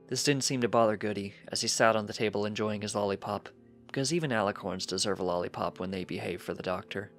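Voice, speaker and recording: A man's voice: lowish voice, fairly bright tone. A man reading fan fiction aloud as scripted story narration. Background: background music.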